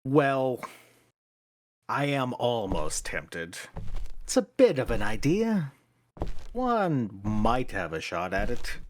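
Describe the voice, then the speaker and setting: A man's voice: mid-range voice, big pitch swings, slow, long pauses, a little hiss or hum. A man playing a brusque, sceptical and commanding theatre manager in an audio stage drama. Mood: tempted. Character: blustery, sceptical theatre manager, gradually tempted.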